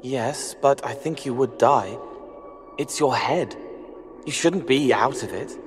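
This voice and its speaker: An actor playing a gentle, eerie young spirit in a video game, halting and dreamy in his earnest wish to help. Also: medium pitch, background noise, close to an everyday voice. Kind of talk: video game cutscene acting. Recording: music behind.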